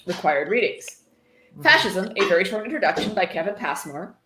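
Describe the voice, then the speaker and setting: A woman's voice: mid-range voice, lively intonation, normal speed. A woman with a dry, sarcastic manner, reading a book aloud and adding casual commentary. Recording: roomy echo, faint noise.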